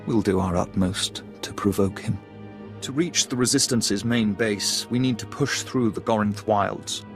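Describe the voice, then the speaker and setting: A refined, quietly cruel military schemer in a sci-fi game. His voice is fairly low, precise and smug, under loud music. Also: unhurried. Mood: gleeful, scheming. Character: refined, coldly calculating male Imperial lieutenant, smugly cruel. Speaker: military officer character. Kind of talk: video game voice acting.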